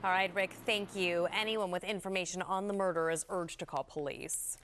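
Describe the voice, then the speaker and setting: A woman's voice: lowish voice, steady tone, normal speed, a bit bright, smooth. A woman anchoring a TV newscast and reading scripted stories. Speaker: TV news anchor.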